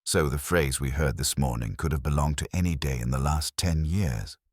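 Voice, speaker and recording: A man voicing a practical, courteous police inspector in a detective story, asking questions in a brisk, dry manner. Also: a bass voice, no room sound. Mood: probing.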